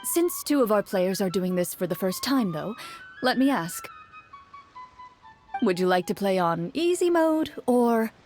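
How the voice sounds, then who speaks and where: A woman playing a composed game master who explains rules in a measured, instructive manner in an anime game. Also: mid-range voice, animated, darkish tone, faint music behind, natural voice. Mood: explanatory.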